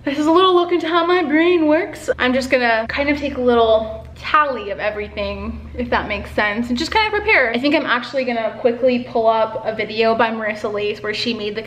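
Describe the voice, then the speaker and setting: A woman's voice: on the high side, brisk, hardly any pauses, a bit bright. A young woman in her twenties, chatting casually to camera at home with lots of filler words and busy hand gestures. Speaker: artist vlogger. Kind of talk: casual vlog chat. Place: home living room and kitchen, indoors. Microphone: camera on a stand. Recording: echoey room.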